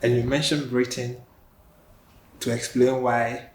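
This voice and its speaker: A man acting as host of a conversational interview. His voice is mid-pitched, and he sets out questions and summaries deliberately, phrase by phrase. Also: level tone.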